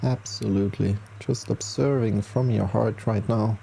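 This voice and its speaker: A man leading a guided relaxation as a meditation teacher, speaking soothingly and reassuringly, with long unhurried pauses. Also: on the low side, steady tone, gravelly, warm tone, slight background noise. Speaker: yoga nidra meditation guide.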